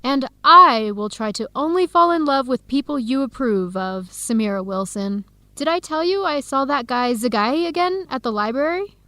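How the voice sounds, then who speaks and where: An actress playing an earnest young American woman researcher in a radio drama, with clear, expressive, carefully enunciated speech. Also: sits high, moderate pace, hardly any pauses, no echo, exaggerated voice. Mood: playful. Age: young adult. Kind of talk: scripted radio drama dialogue.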